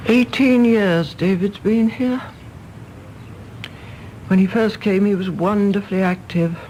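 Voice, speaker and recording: A woman's voice: mid-range voice, mellow, a little hiss or hum, lightly acted, low-quality recording. An older woman with an English accent playing a bossy, fussing mother of a grown son in a radio drama, imperious and chiding. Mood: fretful. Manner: imperious, chiding, brisk with endearments. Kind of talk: stage-play radio drama acting.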